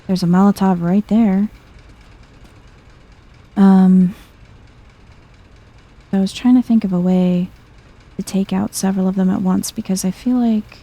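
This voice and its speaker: A woman giving soft-spoken, chill ASMR commentary over a video game playthrough, thinking out loud in a low-key way. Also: gravelly.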